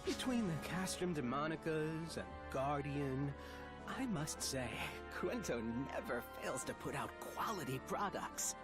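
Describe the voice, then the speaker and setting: An actor playing a smug, polite and cruel young sorcerer villain in a video game, with theatrically courteous condescension. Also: male voice, on the high side, expressive, a little slow. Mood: smug, intrigued. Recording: loud game music behind voice.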